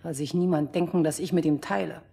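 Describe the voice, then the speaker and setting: An actress playing a spoiled, cruel young princess in a fairy-tale radio play, bossy and wheedling. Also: female voice, sits low, steady tone, fast talker, vocal fry, warm tone, husky, full character voice. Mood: menacing.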